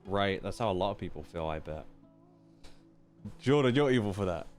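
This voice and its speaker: A man's voice: low voice, animated, moderate pace, darkish tone. A young man streaming a game with casual live reaction commentary, chatty and thinking aloud. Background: game music and dialogue.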